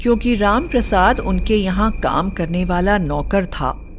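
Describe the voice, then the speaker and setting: A woman's voice: mid-range voice, animated, measured pace, a little hiss or hum. A woman narrating a scripted bedtime story as a storyteller. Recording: faint music bed. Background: soft background music.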